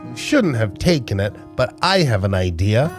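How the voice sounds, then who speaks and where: A man's voice: medium pitch, very animated, normal speed, soft background music, voice pushed down, natural voice. A man voicing a kind, caring female pangolin heroine from a children's storybook in an earnest, neighborly manner.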